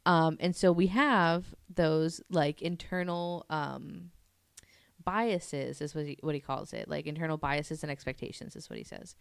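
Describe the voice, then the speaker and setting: A woman's voice: sits low, steady tone, unhurried, gravelly, clear, resonant tone, no echo. A young woman co-hosting a casual podcast, explaining research in a chatty way with lots of fillers and giggles. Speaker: podcast co-host presenting research. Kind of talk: casual chat.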